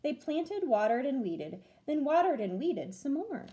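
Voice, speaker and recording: Female storybook reader, teacher type, high-pitched and expressive, big melodic swings for a young audience. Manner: expressive, deliberate phrasing for children. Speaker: teacher reading stories to pupils. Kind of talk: animated children's storybook read-aloud.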